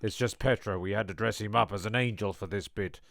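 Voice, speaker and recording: Guy playing flustered director, gravelly voice, stammers a lot, dry close sound, comedy skit. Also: fairly low pitch, fairly quick, a bit bright, no room sound. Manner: hesitant, stammering, earnest. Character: flustered, defensive director of a shambolic amateur production. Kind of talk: comic audio drama.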